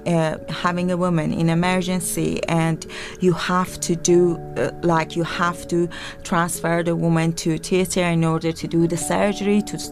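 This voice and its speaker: A woman's voice: fairly low pitch, flat delivery, normal speed, music in the background. A woman from Iran whose first language is Farsi, a midwife guest in an interview, talking hesitantly with many fillers and restarts. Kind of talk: interview conversation.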